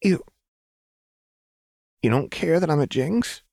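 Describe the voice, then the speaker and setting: A man voicing a frightened, volatile little girl in an audiobook, with halting, stammering, emotional speech. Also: fairly high pitch, expressive, vocal fry, darkish tone, no room sound, clean studio sound, voice pushed up, exaggerated voice. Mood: timid wonder.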